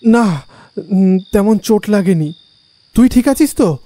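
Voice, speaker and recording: A man's voice: sits high, animated, unhurried, dark tone, dry recording. A man playing a nervous young village man in an audio horror story, speaking with urgent unease. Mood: shaken, concerned. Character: nervous young village man, frightened friend on a night ride.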